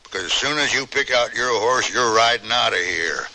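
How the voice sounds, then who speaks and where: Crafty old Western rancher, bright-voiced. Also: male voice, medium pitch, expressive, moderate pace, poor audio. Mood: folksy, shrewd. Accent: American. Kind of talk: old-time Western radio drama acting. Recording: faint noise on old radio recording.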